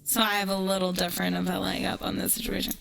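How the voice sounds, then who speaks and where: A woman's voice: on the low side, monotone, fast, creaky, a bit bright, soft background music. A woman streamer chatting casually and ranting in a brash, profane, sarcastic way. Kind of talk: casual livestream chat with ranting storytelling.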